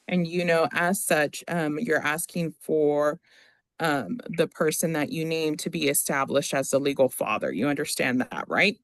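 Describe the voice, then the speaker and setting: Lawyer doing formal court questioning, kinda gravelly, halting, dry close sound. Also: mid-range voice, no echo. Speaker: attorney questioning a witness in court.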